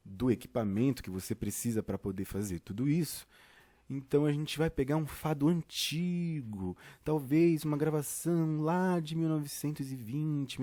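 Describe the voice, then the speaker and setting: A man's voice: mid-range voice, mellow. A man with a Brazilian accent giving an informal step-by-step tutorial, talking directly to listeners and often checking in with them. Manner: conversational, addresses listeners directly with frequent check-ins. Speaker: instructor presenting a tutorial.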